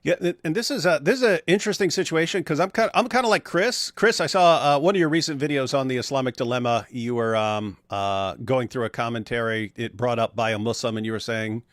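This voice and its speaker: A man's voice: mid-range voice, fairly bright tone, no room sound, studio quality. A man hosting a casual discussion, building his argument step by step with emphatic repetition.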